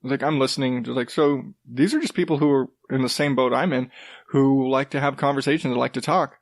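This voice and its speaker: Rambling deep-voiced man hosting a podcast. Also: brisk, no room sound, clean studio sound. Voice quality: rather deep voice. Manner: rambling, loose, with swearing and self-interrupting restarts. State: coming down with a cold. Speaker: podcast host. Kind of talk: casual solo podcast talk.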